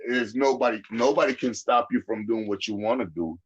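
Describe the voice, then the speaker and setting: A man's voice: mid-range voice, a little fast, husky, highs cut off. A man in his forties, from the Dominican Republic, talking bluntly and profanely in casual chat, often tagging on "you know what I'm saying". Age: 40s. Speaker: podcast host.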